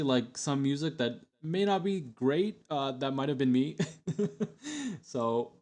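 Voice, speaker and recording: A man's voice: mid-range voice, a little mellow. A young adult man streaming, chatting casually with lots of fillers and restarts as he looks back on old videos. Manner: rambling, many fillers and restarts.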